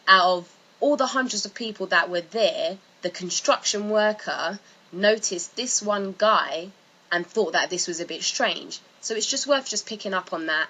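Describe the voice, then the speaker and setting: A woman's voice: fairly low pitch, pushed and bright, low-quality recording. A woman giving informal explanatory commentary in a casual, loosely phrased way, as a video narrator. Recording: faint background noise.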